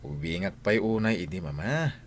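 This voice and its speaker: A man's voice: lowish voice, sing-song, normal speed, creaky, exaggerated voice. A man playing a coaxing son, the young master of the house, in an Ilocano radio comedy drama.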